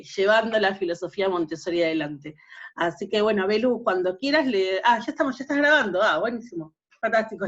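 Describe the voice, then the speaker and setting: A woman hosting an online talk on a video call, welcoming and measured, with many filler pauses. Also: medium pitch, dull audio. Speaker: host, moderator.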